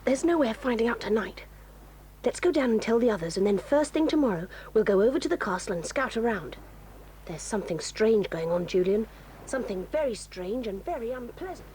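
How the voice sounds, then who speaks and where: A woman's voice: on the high side, lively intonation, brisk, darkish tone, close to an everyday voice, low-quality recording. A woman voicing a bold, tomboyish teenage girl in an audio drama, talking in eager, breathless whispers. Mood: grave. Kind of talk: 1980s radio-style audio drama.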